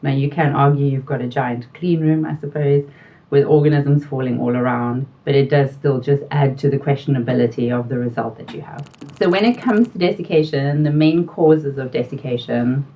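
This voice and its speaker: A middle-aged woman with a South African accent, explaining technical quality topics in a measured way, pausing now and then with fillers. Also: extremely low pitch. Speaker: quality consultant guest. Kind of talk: explanatory interview answers. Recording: distant mic, dull sound.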